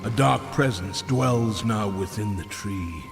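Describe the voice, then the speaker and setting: A man playing an ancient, ominous keeper of secrets in a fantasy game, giving warnings in a portentous, deliberate way. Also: on the low side, gravelly, over music. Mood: ominous, grave. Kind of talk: dark fantasy game acting.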